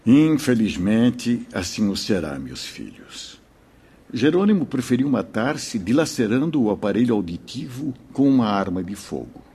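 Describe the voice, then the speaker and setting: A man with a Brazilian accent playing a wise, fatherly priest and spirit mentor in a radio drama, speaking in a measured, solemn, didactic way. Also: medium pitch, animated, dark tone, breathy edge. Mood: grave. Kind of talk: Portuguese-language radio drama acting.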